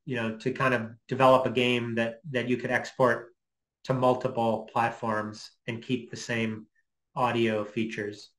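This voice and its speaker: A middle-aged man gives an informal explanation as a lecturer answering questions online. He speaks haltingly, working out his thoughts as he goes, at a medium pitch, from a small room on a computer mic. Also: fairly bright tone, poor audio. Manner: tentative, halting, thinking aloud. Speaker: guest lecturer. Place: small home office, indoors. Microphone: computer mic on a video call.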